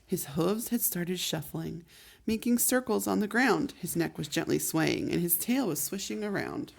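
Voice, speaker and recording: Woman storyteller for a kids' read-aloud, low pitch, gravelly, expressive and measured. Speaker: storyteller host. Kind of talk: reading a picture book aloud.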